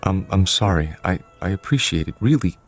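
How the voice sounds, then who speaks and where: A shy, apologetic grown son in a vintage drama. His low voice stammers and stalls politely, with music underneath the old soundtrack. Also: animated, fairly quick. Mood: apologetic, uneasy. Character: timid, peculiar, evasive grown son, lonely and apologetic. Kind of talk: mid-century television drama acting.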